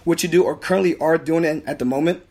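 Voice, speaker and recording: Casual: young dude hosting a podcast, hoarse voice, talks quick and kinda high, lots of um and you know. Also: male voice, dark tone. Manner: hyped, informal, filler-heavy. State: hoarse, partly lost voice. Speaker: podcast host. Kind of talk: casual interview chat.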